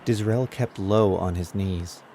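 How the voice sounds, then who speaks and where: A man's voice: low voice, measured pace, dark tone, slight background noise. A man narrating a dramatized audiobook in a scripted, dramatic storytelling style.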